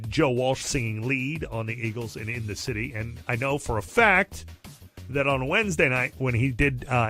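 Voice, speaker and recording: A man's voice: mid-range voice, fairly bright tone. A man working as a music radio DJ, giving upbeat, conversational patter with emphatic, enthusiastic promotion between songs. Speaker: radio DJ, music station host. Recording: radio broadcast with music behind.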